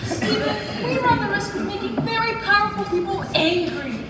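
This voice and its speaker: A woman's voice: very high voice, expressive, normal speed, over a noisy crowd. A woman playing a spunky, outspoken alien misfit in a comic stage piece, exaggerated and theatrical. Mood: earnest. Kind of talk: comic stage readers theatre acting and narration. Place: large echoing competition room or hall. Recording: echoey hall from far off, loud noise.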